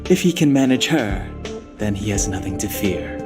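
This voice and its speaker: A man voicing a calm, reserved young guard in a video game, measured, aloof and matter-of-fact, over loud music. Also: fairly low pitch, close to an everyday voice. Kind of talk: scripted video game dialogue.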